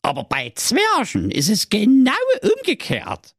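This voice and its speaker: A man voicing an old female oracle turtle with a Saxon accent, explaining things slowly and patiently. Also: medium pitch, wildly swooping pitch, slightly rough, dry recording, clean studio sound, voice pushed up, full character voice. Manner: explains with slow patient emphasis.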